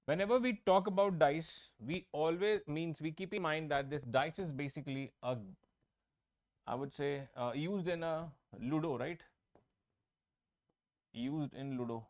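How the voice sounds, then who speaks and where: A man's voice: on the high side, a little slow. A man teaching an online math class. He explains each step, repeats himself and often checks that students follow. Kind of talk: online exam-prep class. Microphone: own microphone, online class.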